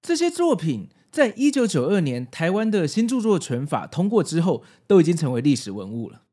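Podcast host: man, Taiwanese Mandarin accent, slightly high voice, highly expressive intonation, scripted narration. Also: a little fast.

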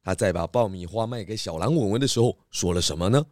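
A man voicing a calm, methodical pig detective who patiently leads with questions in a children's audio drama. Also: fairly low pitch, dry recording, studio quality, close to an everyday voice. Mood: methodical, probing. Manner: measured, patient, leading with questions.

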